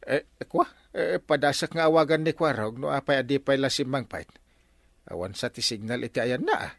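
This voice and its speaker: A man's voice: mid-range voice, a little fast, creaky, a bit bright. A man playing a flustered, blustering village captain in an Ilocano radio comedy, with a stammering, hesitant delivery and an Ilocano accent. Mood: agitated. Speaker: comedy drama actor. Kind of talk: Philippine radio comedy drama acting.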